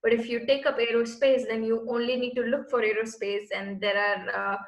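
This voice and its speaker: A woman's voice: fairly high pitch, little pitch movement, a little fast, highs cut off. A woman, earnestly explaining her path as an interview guest and searching for words as she goes. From Bangalore, India. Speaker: guest, space entrepreneur. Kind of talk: casual interview answers. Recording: echoey room.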